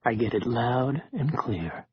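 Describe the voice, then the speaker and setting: A man voicing a calm, guarded male leader who speaks low and measured. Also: slightly rough, dry recording, lo-fi sound.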